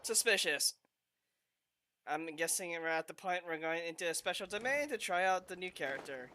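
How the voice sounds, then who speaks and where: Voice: mid-range voice, lively intonation, moderate pace, sharp tone, a little hiss or hum. A gameplay commentator making wry, offhand remarks in casual let's-play commentary.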